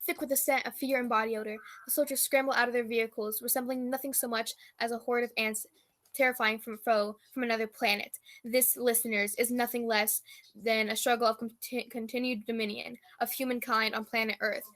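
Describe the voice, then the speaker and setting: Bright, grandiose actress as a lady war correspondent. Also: fairly high pitch, level tone, fairly quick. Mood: solemn, grandiose. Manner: pompous, florid, dramatic. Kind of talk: old-time radio news report parody.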